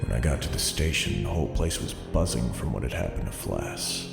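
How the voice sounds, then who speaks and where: An actor playing a weary, gruff police detective and devoted husband in an audio drama, with a dry, sardonic manner and a gravelly voice. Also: male voice, rumbling low, very animated, brisk, over loud music, full character voice. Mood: weary, sardonic narration. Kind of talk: audio drama acting and first-person narration.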